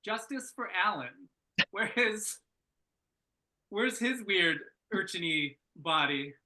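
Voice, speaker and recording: Man, very sharp bright tone, raised pitch, halting delivery, casual critique. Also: lively intonation, low-quality recording. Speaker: script reader giving feedback at a table read.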